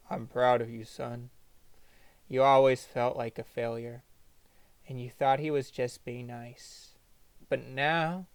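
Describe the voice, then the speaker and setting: A man's voice: a little high, a bit bright, smooth, no room sound. A man narrating a dramatized comic story in a theatrical, mock-epic manner. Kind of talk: dramatized comedic story narration in second person.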